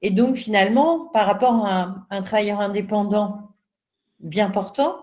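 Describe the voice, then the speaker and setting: A woman's voice: medium pitch, fairly even intonation, moderate pace, a little mellow. Earnest Frenchwoman on a webinar panel. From northern France. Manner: earnest and explanatory, with frequent hesitations. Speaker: panelist, self-employed business owner.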